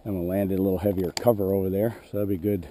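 A man with a hoarse voice, narrating plainly as he trains a dog in an open field, recorded on a head-mounted action camera. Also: low-pitched, little pitch movement, very fast, warm tone, a little hiss or hum. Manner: plain, matter-of-fact, clears throat often. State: hoarse, losing his voice. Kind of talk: narrating a hunt while commanding a dog. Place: open grassy field outdoors.

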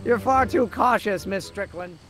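An actor playing a reckless, impatient young inventor in a video game, brisk and dismissive. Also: male voice, sits high, background noise, natural voice.

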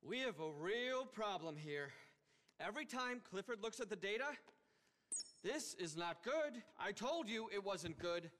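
A man's voice: sits high, lively intonation, a little slow, fairly bright tone. An actor playing a pompous, manic tech billionaire in his thirties in a game cutscene, indignant and theatrical. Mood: alarmed, exasperated. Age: 30s. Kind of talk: animated game cutscene acting.

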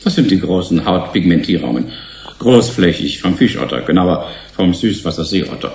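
An actor playing a defensive, technically minded genetic engineer in a German science-fiction radio play. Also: male voice, low-pitched, animated, moderate pace, gravelly, darkish tone. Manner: hesitant in places, technical. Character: defensive male genetic engineer on a research team. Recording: roomy echo, faint noise.

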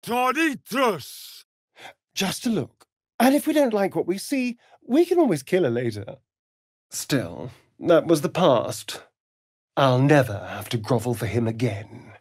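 An actor playing an arch, flirtatious, sardonic vampire rogue in a video game, with a theatrical drawl. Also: male voice, fairly high pitch, very animated, unhurried, slightly rough, dry recording, clean studio sound, full character voice. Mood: playful, sly, then bitter, resolved. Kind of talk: video game character dialogue.

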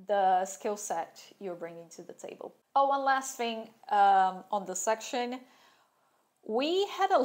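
A woman in her thirties speaking candidly and wryly in a casual talking-head vlog, seated at a desk in a small room. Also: fairly high pitch. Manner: candid and wry, with sarcastic asides. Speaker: vlogger sharing her fashion school experience.

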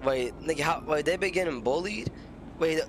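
A man's voice: fairly high pitch, normal speed, background noise. An American man streaming a game with casual, profane, slangy chat, often repeating his phrases. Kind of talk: casual gameplay chat and roleplay.

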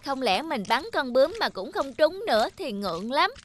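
A woman voicing an anxious young boy, an eager grandson, in a children's cartoon with earnest, expressive delivery. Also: high-pitched, moderate pace. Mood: eager, playful.

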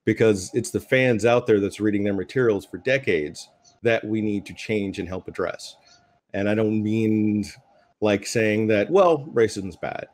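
Male panelist, middle-aged, slightly deep voice, earnest and measured. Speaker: panelist, game creator. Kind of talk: panel discussion, earnest opinion. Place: room at home, on a video call. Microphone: video call microphone.

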